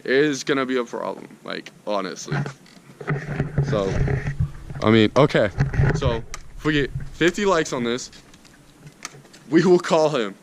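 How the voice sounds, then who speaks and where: A man's voice: medium pitch, expressive, unhurried, creaky, long pauses. Young American male, casual slangy storyteller, noisy homemade video audio. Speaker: vlogger telling a story.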